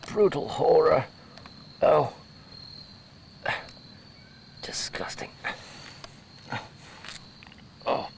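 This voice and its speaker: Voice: mid-range voice, creaky. An amateur performer playing a horrified, disgusted lone survivor in a homemade audio drama, wailing melodramatically. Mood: grieving horror. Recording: homemade 1990s tape, faint background noise.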